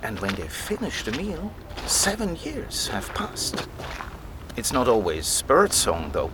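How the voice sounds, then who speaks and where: A man's voice: mid-range voice, huge pitch swings, takes it slowly, heavy background noise. An actor playing a keen, patient male scholar who explains things like a storyteller in an audio drama. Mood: engrossed, explaining. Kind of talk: conversational audio drama dialogue.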